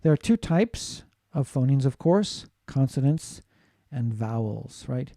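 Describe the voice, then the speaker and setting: A man's voice: medium pitch, lively intonation, takes it slowly, warm tone, no echo, studio quality. A man from the United States giving a classroom lecture on phonetics, explaining steadily and often asking "right?" to check in with students. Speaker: English teacher.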